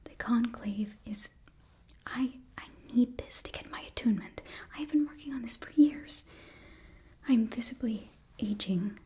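A young woman performing a whispered ASMR roleplay, speaking softly and coaxingly right up close to the microphone. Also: fairly high pitch, a little slow, husky. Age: young adult. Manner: soft, coaxing, close-up whisper with murmured repetitions. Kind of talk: whispered ASMR roleplay, in character as a sorcery student.

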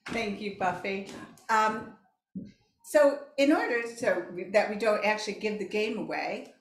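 An older woman hosting an event with unscripted introductions. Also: medium pitch. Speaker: event host. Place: indoors, large parlour with fireplace. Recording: roomy echo.

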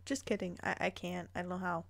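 A woman's voice: lowish voice, fairly even intonation, brisk, gravelly. A woman reading a story aloud dramatically, breaking in with playful, incredulous commentary. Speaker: YouTuber reading fan fiction aloud.

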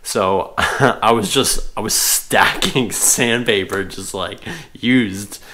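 A man's voice: fairly low pitch, slow. A man in his thirties giving a casual, chatty vlog update to camera from a couch at home, with many fillers. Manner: relaxed, chatty, lots of fillers and laughs. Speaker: sailing vlog host. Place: living room, seated on couch indoors.

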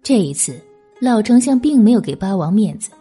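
A woman's voice: mid-range voice, big pitch swings, moderate pace, mellow, slightly rough, soft background music. A woman narrating an audiobook novel in a scripted, dramatic storytelling style.

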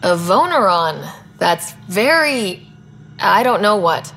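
Young female explorer hero: breezy, assured, noticeable vocal fry, science fiction game dialogue. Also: mid-range voice, very animated, slow pace, a bit bright, full character voice. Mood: casual, curious. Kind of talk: video game dialogue acting.

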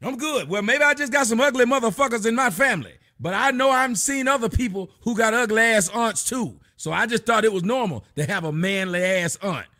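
A middle-aged man hosting a livestream from his home, giving loud, mocking commentary full of cursing on a video clip. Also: extremely high pitch, brisk, hardly any pauses. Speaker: livestream host. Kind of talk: profane comedic commentary on a clip. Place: indoor home room.

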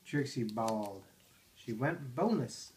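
A man's voice: medium pitch, lively intonation, a little slow, darkish tone, slight background noise. A father reading picture books to his toddler at home, playful and coaxing, asking her questions about the pages. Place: living room armchair at home.

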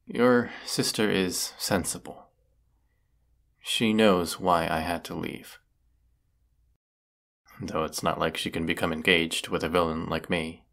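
A man playing a brooding villainous wizard in a fantasy audio roleplay, stern and formal in his deliberate delivery. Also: sits low, steady tone, creaky, fairly bright tone, exaggerated voice. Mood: wistful, restrained. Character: brooding villainous wizard of the woods, secretly lovelorn.

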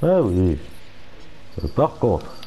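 A man's voice: on the low side, wildly swooping pitch, drawn-out words, warm tone, slight background noise. A man with a cold giving casual, muttered commentary over a game and swearing at setbacks. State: has a cold. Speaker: gaming channel host.

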